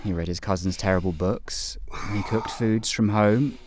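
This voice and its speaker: A man narrating an audio play in a calm, measured and wry way. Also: fairly low pitch, background noise. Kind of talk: scripted narration. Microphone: home recording booth.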